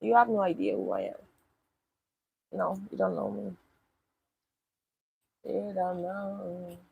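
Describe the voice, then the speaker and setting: A woman's voice: fairly low pitch, big pitch swings, slow pace, gravelly, breathy edge, narrow, tinny audio. A young woman, live-streaming casually and answering chat comments bluntly, with swearing. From Nigeria.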